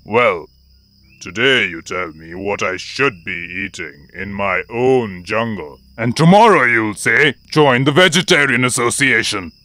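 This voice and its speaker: A man's voice: mid-range voice, lively intonation, unhurried, bright, forceful tone, dry recording. A man voicing a haughty, menacing lion king in a cartoon, sly and mockingly polite. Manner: mocking, drawling mock politeness. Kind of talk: cartoon voice acting.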